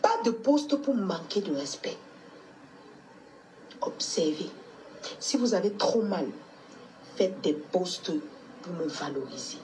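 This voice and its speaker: A woman's voice: lowish voice, very animated, takes it slowly, long pauses, darkish tone, slight background noise, low-quality recording. A woman with a cold, coughing now and then, talking to her fans in a motherly, scolding way on a live stream. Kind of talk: casual live stream address.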